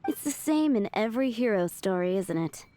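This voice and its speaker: A woman's voice: medium pitch, lively intonation, normal speed, warm tone, a little hiss or hum, lightly acted. Woman playing a breezy, teasing tavern boss. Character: playful, well-off tavern boss, teasing and worldly-wise. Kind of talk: video game cutscene acting.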